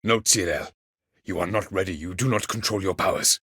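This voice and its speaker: A male actor playing an aloof, ancient elven mage in a video game, speaking in a measured, condescending way with formal, archaic phrasing. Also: deep voice, pushed and bright, slightly rough, no echo, clean studio sound, exaggerated voice. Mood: urgent. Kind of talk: video game character acting.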